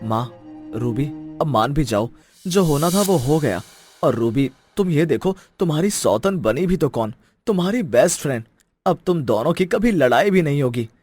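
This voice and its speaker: A man's voice: fairly high pitch, animated, normal speed, a little mellow, soft background music. A man playing a reluctant, reproachful young husband in a cartoon story, earnest and dramatic. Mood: pleading. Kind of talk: Hindi cartoon story acting.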